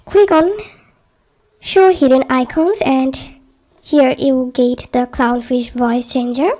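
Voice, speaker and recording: A woman's voice: high voice, fairly even intonation, slow, warm tone. A woman presenting a scripted how-to tutorial, giving instructions step by step.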